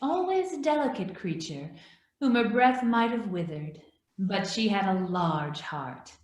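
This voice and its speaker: Ghostly spirit guide, voiced by a woman, calm and solemn, expressive pitch, gently questioning, roomy echo, radio drama. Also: unhurried, close to an everyday voice. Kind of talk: radio play acting. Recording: roomy, echoing voice.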